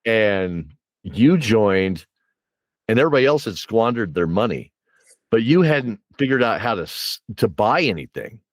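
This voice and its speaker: A man with a fairly low voice and a close, dry sound hosts a casual interview. He rambles through stories as a game master would, doubling back on words while he thinks. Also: very animated, measured pace, no echo. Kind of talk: casual podcast interview and storytelling.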